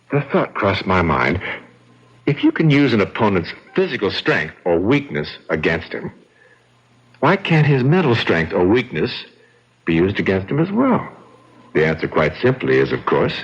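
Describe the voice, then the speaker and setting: A man's voice: low-pitched, slightly rough, exaggerated voice. A man playing a suave, cold killer in a radio drama, speaking in a smug, theatrical, taunting manner. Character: suave, cold, gloating killer brother-in-law. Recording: dull, band-limited old radio recording.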